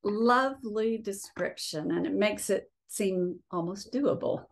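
A woman hosting an interview as a cookbook publisher, asking measured questions over a video call from her living room. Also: medium pitch, vocal fry. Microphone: laptop webcam on video call.

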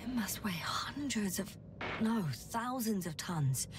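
A woman voicing an observant, level-headed space scientist in a video game, reporting what she sees in a measured way. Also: medium pitch, animated, far from the mic, noisy background. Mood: calm, observant.

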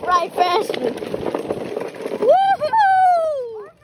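A woman's voice: very high voice, very animated, drawn-out words, very noisy. A woman chatting playfully in a casual vlog, rambling and stumbling over her words. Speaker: vlogger.

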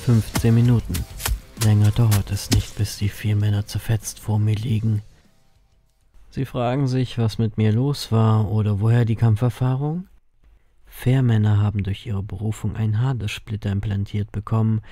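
A man's voice: lowish voice, fairly even intonation, normal speed, mellow, faint music behind, close to an everyday voice. A man playing a grim, world-weary ferryman reaper, narrating a horror audio drama tersely and wryly.

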